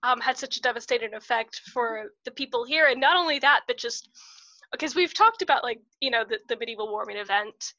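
Brief: woman podcast host, chatty and giggly, high bright voice, dry close sound. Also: a little fast, dry recording, dull audio. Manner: chatty, laughs often, many fillers. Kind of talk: casual interview chat.

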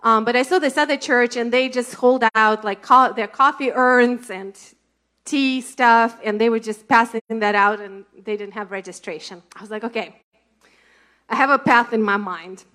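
A woman with a Russian accent, a ministry leader sharing a testimony in conversational church talk, animated and storytelling. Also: on the high side, moderate pace. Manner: animated, laughing, storytelling with quoted thoughts.

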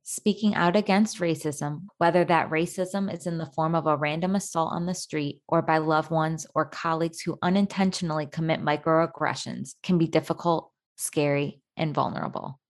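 Low-voiced woman narrator. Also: steady tone, normal speed, few pauses. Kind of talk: scripted narration.

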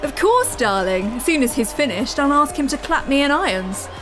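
A woman's voice: high-pitched, very animated, moderate pace. An actress playing a decadent female corporate president who gushes and drawls theatrically, with music underneath. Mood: gushing. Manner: theatrical, drawling, calls everyone darling. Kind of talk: audio drama acting.

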